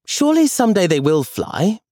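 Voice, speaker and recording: A man voicing a high, eager little boy. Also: big pitch swings, moderate pace, darkish tone, dry recording, studio quality. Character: excitable little boy grandson, imaginative and eager.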